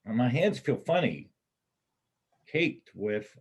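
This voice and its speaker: A man in a readers' theater play acting a wounded, rattled bank robber on the run, with a halting, stammering, rambling delivery. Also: mid-range voice, sing-song, husky, full character voice. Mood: dazed, halting.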